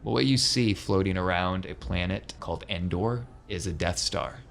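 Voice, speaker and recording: Male game master, lowish voice, vivid improvised tabletop storytelling, slight background noise. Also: normal speed, vocal fry, a bit bright.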